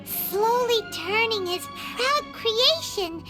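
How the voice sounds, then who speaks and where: A woman voicing a tiny, childlike, curious fairy companion in a video game, with a squeaky voice. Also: animated, slow pace, over music, full character voice. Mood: pondering. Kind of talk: cute video game character acting.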